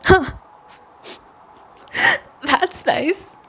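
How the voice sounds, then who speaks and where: A woman acting a hurt, bitter young woman in an audio drama, speaking emotionally and often in a breathy hush. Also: sits high, extremely slow, exaggerated voice. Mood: hurt, angry. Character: hurt, bitter young woman confronting the man she loves. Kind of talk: first-person audio drama narration and dialogue. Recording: noticeable background noise and ambience.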